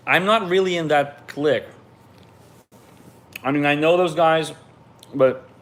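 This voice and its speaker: A man's voice: medium pitch, a bit bright. A man from Ukraine, raised in Israel, reads viewer questions aloud on a live stream and answers them in a loose, candid way.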